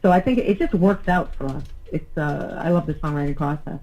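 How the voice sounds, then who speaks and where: A woman's voice: low-pitched, brisk, dark tone, low-quality recording. A woman, lead singer of a band, talking as a guest in a casual radio interview, upbeat and chatty with many fillers.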